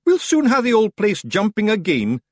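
A man's voice: high voice, huge pitch swings, normal speed, dry recording, voice pushed up, exaggerated voice. A man doing Rob Anybody, a brash little Scottish fairy. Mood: boisterous. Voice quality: loud, rough, hearty. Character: small wild male Scottish fairy warrior, brash chieftain.

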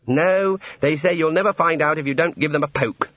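A man voicing a raised-pitch, exasperated young wizard. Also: sing-song, normal speed, slightly rough, no echo, narrow, tinny audio, full character voice. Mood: anxious. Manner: hesitant, gloomy, long-suffering. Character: young wizard scholar, earnest, exasperated, awkwardly polite.

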